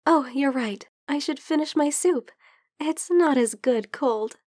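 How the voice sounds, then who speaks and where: Soft, high-voiced, unhurried vampire girl played by a woman. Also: dry recording, clean studio sound. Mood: grateful, weary. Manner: soft, hesitant, playfully teasing. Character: sick, gentle, teasing female vampire friend. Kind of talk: soft-spoken ASMR roleplay.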